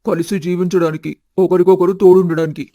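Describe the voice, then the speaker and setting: A man voicing a sincere, gentle young husband in an animated family story, earnest and subdued. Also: high voice, a little fast, dry recording. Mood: evasive. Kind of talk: animated family drama dialogue.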